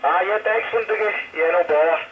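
A man's voice: high-pitched, quick pace. A man chatting casually as an amateur radio operator, heard through a two-way radio. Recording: tinny, very noisy radio transmission from speaker.

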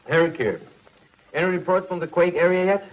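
An actor playing a commanding commissioner in a 1950s TV sci-fi serial, clipped and authoritative. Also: male voice, high voice, brisk, natural voice. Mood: brisk. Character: brusque, commanding commissioner in uniform. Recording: muffled old TV soundtrack, faint noise.

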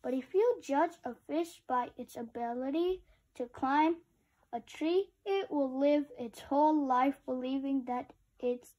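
A woman's voice: sits high, measured pace, mellow, low-quality recording. A woman reading a picture book aloud, adding informal, chatty, childlike asides to young listeners. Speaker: read-aloud host.

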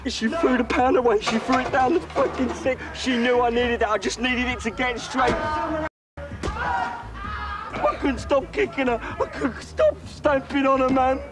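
A man's voice: extremely high pitch, measured pace. An actor playing a volatile young man, swearing and defensive, who breaks down confessing what he did to his mother. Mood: anguished. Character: guilt-ridden young British man, volatile temper. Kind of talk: film drama acting.

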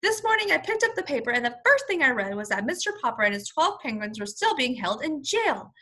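A woman's voice: sits high, big pitch swings, brisk, sharp tone, full character voice. A woman voicing a hearty, kindly bearded admiral in a storybook, with emphatic, stately delivery. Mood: genial, earnest.

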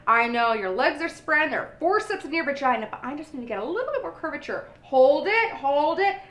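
A young woman playing a pretentious female photographer, brisk and demanding as she gives directions in a comedy sketch. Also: high-pitched, animated, fairly bright tone, roomy sound. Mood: bossy, fussy. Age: young adult. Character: pretentious, bossy female delivery-room photographer.

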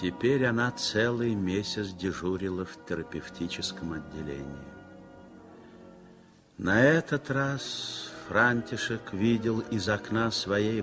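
A man reading literary narration for an old radio play, slow and measured, with a lowish voice. Faint music sits behind him. He lingers lyrically over the descriptions.

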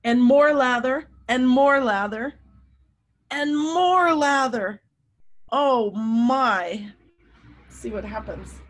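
Teacher lady in her 50s reading a picture book to little kids, kinda high voice, really expressive, stretches words out. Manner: animated storytelling with drawn-out emphasis and questions to listeners. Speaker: teacher reading a children's story aloud. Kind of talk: expressive read-aloud for young children. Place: room at home.

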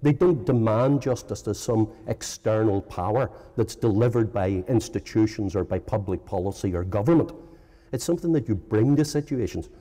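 Middle-aged Northern Irish lecturer, hesitant and halting, deep, in a big reverberant hall. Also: male voice, animated, warm tone. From Northern Ireland. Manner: hesitant, many ums and uhs, self-correcting. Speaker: philosophy professor giving a lecture. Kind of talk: informal conference lecture. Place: large conference hall. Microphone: lectern microphone.

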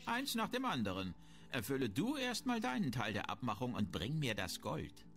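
A man's voice: medium pitch, huge pitch swings, normal speed, gravelly, fairly bright tone, heavily acted. A man playing a shrewd, grumbling, self-important town merchant in a fantasy video game. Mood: businesslike. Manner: haggling, disdainful, self-important. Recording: music behind the voice.

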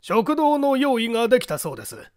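A man's voice: very high voice, wildly swooping pitch, brisk, darkish tone, no room sound, studio quality, voice pushed up, full character voice. A man voicing a proud, genial aristocrat who speaks in a grand, formal, declamatory way. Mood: hearty, announcing. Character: proud, genial aristocratic uncle hosting a banquet.

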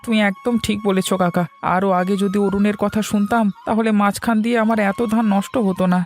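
A man's voice: high-pitched, steady tone, brisk, darkish tone, soft background music, close to an everyday voice. A man playing a blunt, stubborn village farmer in an educational cartoon. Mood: regretful. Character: scoffing, stubborn village farmer, neighbour of the hero.

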